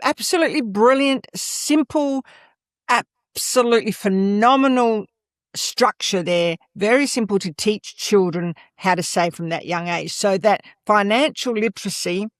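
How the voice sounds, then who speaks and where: A woman's voice: medium pitch, measured pace, no echo, clean studio sound. Emphatic older Australian woman educator. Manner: emphatic, leans on "absolutely" and "et cetera". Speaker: guest, former school principal. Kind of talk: interview conversation.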